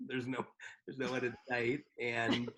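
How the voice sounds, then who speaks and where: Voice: mid-range voice, completely flat delivery, measured pace, a bit bright, slight background noise, low-quality recording. A co-host on a live podcast, making wry joking asides. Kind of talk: live comedic banter.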